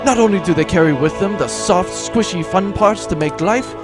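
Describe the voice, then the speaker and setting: A man's voice: high-pitched, normal speed, loud music behind the voice, lightly acted. A man voicing a glib, cocky space captain hero who makes grandiose speeches in a comedic audio drama. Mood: mock-earnest oratory.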